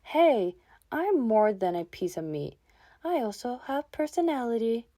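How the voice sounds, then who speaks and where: A young vixen creature acted by a woman with a fairly high voice and very slow pacing. She sounds hesitant, emotional and a little whiny, a moody cartoon heroine unsure of herself. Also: very animated, dark tone, no room sound, clean studio sound. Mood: grumpy. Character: young female fox creature, moody, lost amnesiac. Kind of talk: animated comic dub acting.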